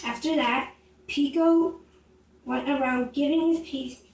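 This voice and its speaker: A female storyteller narrating a scripted fable, reading aloud haltingly and repeating words here and there. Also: sits high, steady tone. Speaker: storyteller in a puppet show. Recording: roomy, distant home recording with noise.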